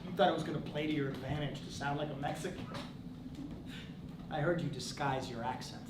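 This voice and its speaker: An actor playing a sardonic sibling who teases in dry banter on stage. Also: male voice, fairly high pitch, steady tone, brisk, far from the mic, close to an everyday voice. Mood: needling. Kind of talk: stage play acting. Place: theatre stage. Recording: roomy stage recording from afar with noise.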